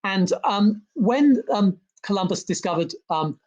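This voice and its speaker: A man's voice: sits high, expressive, a little slow, darkish tone, muffled recording. Hesitant man full of ums. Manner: hesitant, many ums and restarts. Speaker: historian and author, interview guest. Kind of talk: conversational interview about history.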